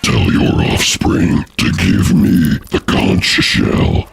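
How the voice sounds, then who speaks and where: A man voicing a large, menacing seaweed sea monster in a deep, gurgling, gravelly voice for a scary children's story. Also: big pitch swings, faint music behind, heavily acted. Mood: angry, demanding. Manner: very slow, drawn-out, menacing delivery.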